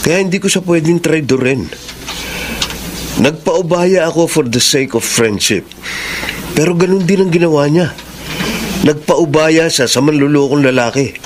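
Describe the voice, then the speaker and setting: Self-sacrificing young Filipino friend for radio drama male actor, slightly high voice over noisy ambience. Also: measured pace, long pauses, close to an everyday voice. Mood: regretful. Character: loyal, lovelorn, self-sacrificing young man.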